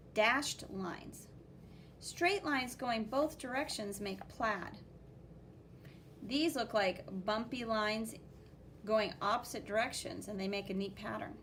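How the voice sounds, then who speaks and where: A woman reading a picture book aloud to children as a teacher, expressive and exclaiming, with spoken sound effects and commentary. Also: mid-range voice, a little hiss or hum.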